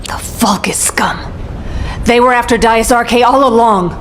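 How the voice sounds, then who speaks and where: A woman's voice: a little high, unhurried, over music, natural voice. A woman playing a furious, outraged mother. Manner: seething, outraged. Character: furious protective mother of a kidnapped daughter. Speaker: game character. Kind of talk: video game cutscene voice acting.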